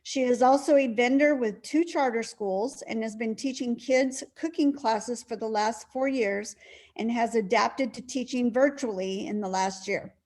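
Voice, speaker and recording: Woman, slightly high voice, reads a speaker intro. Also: unhurried, a little mellow. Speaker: club member introducing the speaker. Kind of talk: reading a prepared introduction. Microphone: video call.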